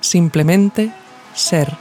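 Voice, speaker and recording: A narrator reads a scripted audio essay in a measured, reflective way, phrasing each thought deliberately. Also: medium pitch, a little mellow. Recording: music behind the voice. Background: music.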